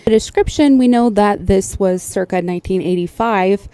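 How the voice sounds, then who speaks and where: A woman's voice: mid-range voice, normal speed, mellow, no echo. A woman hosting casual, explanatory commentary in a conversational way, like a specialist breaking things down. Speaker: host, sleep technologist.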